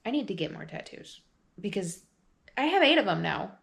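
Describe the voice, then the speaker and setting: A woman chatting casually in a rambling home vlog, her voice deep and groggy from just waking up. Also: big pitch swings, normal speed, a bit bright. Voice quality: deep, gravelly. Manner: rambling, chatty, full of fillers. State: groggy morning voice. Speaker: vlogger. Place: her apartment.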